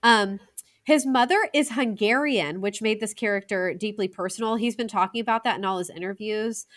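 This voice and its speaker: A woman in her thirties from the United States, co-hosting a casual film review podcast, chatty and excitable with quick asides. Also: medium pitch. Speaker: podcast co-host.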